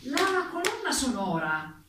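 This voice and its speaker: A woman's voice: sits high, wildly swooping pitch, slow pace, full character voice. A woman acting a formal, persistent interrogator who puts official, pressing questions in a stage drama. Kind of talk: stage courtroom drama dialogue. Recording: distant, very echoey stage recording, faint noise.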